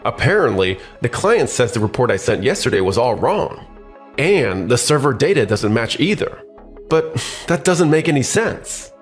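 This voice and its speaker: A man playing a self-serving, scheming office worker in an anime-style dub, excitable and easily flustered. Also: mid-range voice, normal speed, close to an everyday voice. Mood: alarmed. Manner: stammers when cornered, excitable. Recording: music bed behind the voice.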